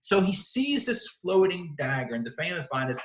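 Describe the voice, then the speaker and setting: A man's voice: on the high side, very animated, normal speed, roomy sound. A man teaching literature, walking through the text of a play and explaining it line by line, often correcting himself as he goes.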